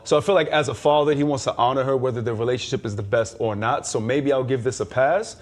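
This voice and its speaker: Medium-pitched man chatting on a quiz show. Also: fast. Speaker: quiz show contestant and panelist. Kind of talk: casual opinionated culture chat.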